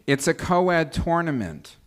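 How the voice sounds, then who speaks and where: A man acting an exasperated, bossy, thin-skinned woman who leads a bowling team, indignant and forceful, in a comedy table read. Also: a little high, big pitch swings, measured pace.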